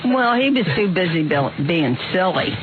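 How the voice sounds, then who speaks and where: A woman's voice: lowish voice, very animated, normal speed. An older woman, a guest's mother, giving mild, noncommittal answers on a radio show. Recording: muffled radio broadcast with noise.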